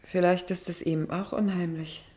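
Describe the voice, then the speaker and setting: Actress as very low-voiced anxious mother. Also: fairly even intonation, normal speed, warm tone, close to an everyday voice. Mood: fearful, uneasy. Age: middle-aged. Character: anxious, pleading governor's wife and mother. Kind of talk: German radio drama acting.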